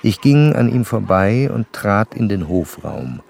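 A man acting as a gentleman narrator, telling a literary story in a measured, sober way for a radio drama. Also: lowish voice, sing-song, creaky, full character voice. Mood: sober, grave. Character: observant gentleman narrator recalling a poor musician.

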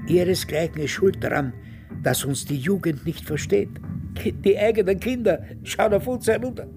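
An actor in a German radio drama playing an aged former SS guard, cowardly and self-pitying, with a halting, spluttering, wheedling manner. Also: male voice, high voice, music in the background. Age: older.

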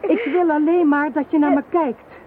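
An actress playing a determined, persuasive police inspector in a Dutch radio drama, speaking insistently and deliberately. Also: female voice, high voice, fairly even intonation, lightly acted. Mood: firm, coaxing. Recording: muffled narrow-band phone-like audio, noisy.

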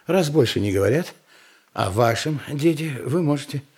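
A man playing a calm, curious peacemaker with a husky voice in an audio play. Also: medium pitch, moderate pace. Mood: firm, polite.

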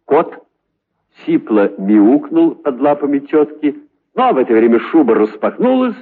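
Lively male storyteller, muffled narrow sound. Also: mid-range voice, measured pace, slightly rough. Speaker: narrator. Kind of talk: expressive scripted storytelling for children.

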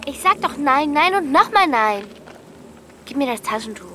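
A woman's voice: high-pitched, very animated, moderate pace, bright, forceful tone, slight background noise, heavily acted. Stubborn, curt teenage girl. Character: stubborn, practical thirteen-year-old girl, caring but curt. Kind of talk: German audio drama acting.

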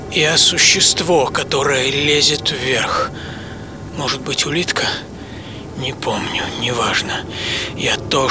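A man playing an exhausted, wryly philosophical mountaineer in a radio monologue, halting and breathy, drifting between whisper and voice. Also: medium pitch, close to an everyday voice. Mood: strained, awed. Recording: music under the voice. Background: music.

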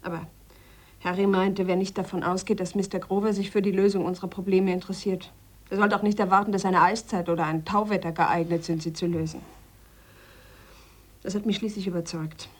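An actress playing a determined, idealistic scientist in a radio play, speaking thoughtfully and insistently. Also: female voice, fairly low pitch, little pitch movement, brisk, long pauses, breathy edge. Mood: reflective, hopeful. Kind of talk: radio play dialogue, reflective confession.

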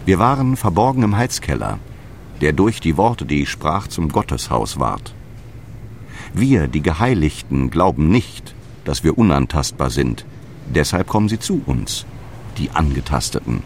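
An actor playing a stern, zealous apostle who preaches and commands in solemn scriptural language for an audio drama. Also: male voice, rumbling low, very animated, measured pace, a little hiss or hum, exaggerated voice. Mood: solemn, intense. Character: Paulus, stern zealous male apostle, guardian of the faith.

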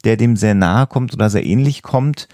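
A man's voice: lowish voice, moderate pace, warm tone, no room sound, studio quality. A man who explains things as a podcast host, often rephrasing himself as he goes.

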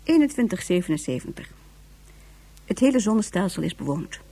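A woman's voice: fairly low pitch, very animated, brisk, gravelly, mellow, breathy edge. A woman giving scripted narration for a science-fiction radio serial. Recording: faint music behind.

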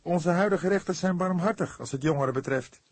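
A man's voice: sits high, brisk, no echo, pitched above the performer's own voice. A man voicing an eager, impatient younger police detective who speaks in a heated, insistent way.

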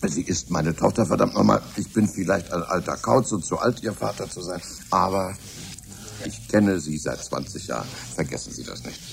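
A deep, gravelly older man, speaks as an avuncular, self-assured scientist in a radio play. He is blunt and genial, and the recording has clear background noise. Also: normal speed. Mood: reassuring. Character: genial, blunt old British scientist, a fatherly knight. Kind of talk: German radio drama acting.

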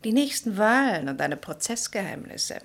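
A woman's voice: mid-range voice, very animated, normal speed. An actress in a German radio play voicing a meddling, chatty and self-assured old society lady, inquisitive and bossy in a theatrical way. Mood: playfully bossy. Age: older.

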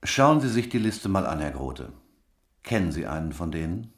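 An actor playing a brisk police investigator in a radio drama, asking terse, probing questions. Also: male voice, sits low, sing-song. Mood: pressing. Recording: roomy echo, faint noise.

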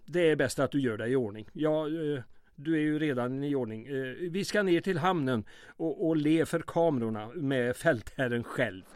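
A man's voice: medium pitch, dry recording, clean studio sound, natural voice. A man playing a weary, sardonic small-town mayor in an old-time radio drama, with formal, wordy sarcasm. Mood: gloomy, resigned.

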